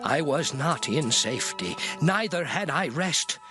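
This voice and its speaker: A man's voice: on the high side, lively intonation, unhurried. An actor playing a grieving man in anguish, declaiming mournfully in a solemn scripture drama. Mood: anguished, weary. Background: music.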